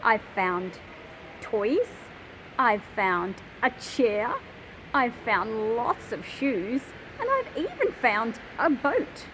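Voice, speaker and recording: Children's book presenter, female, 30s. Animated, higher-pitched, expressive explaining, recorded on a phone amid clear ambient noise. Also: drawn-out words, rough recording. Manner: expressive, addressing children directly with emphatic phrasing. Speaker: host of a children's picture book channel. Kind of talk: explanatory presenting to young readers. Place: large industrial hall with old machinery.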